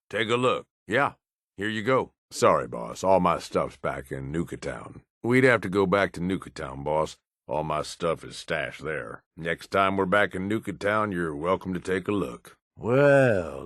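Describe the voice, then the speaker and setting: An actor voicing a tough, cynical middle-aged American raider in a video game, gruff and folksy in a plain-spoken way. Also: male voice, deep voice, no echo, clean studio sound, full character voice. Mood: wry, callous, then matter-of-fact, then sardonic, sizing up. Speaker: companion character in a video game. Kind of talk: acted video game dialogue.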